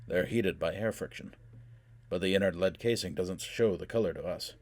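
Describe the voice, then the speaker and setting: Man acting a blunt, defiant man, very low-voiced. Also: normal speed, no echo, natural voice. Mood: grim. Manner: terse, forceful, tense.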